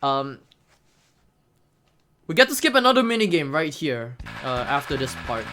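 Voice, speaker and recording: Someone doing casual speedrun commentary while playing, excitable and prone to playful exclamations and acted-out reactions. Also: mid-range voice, normal speed, music in the background.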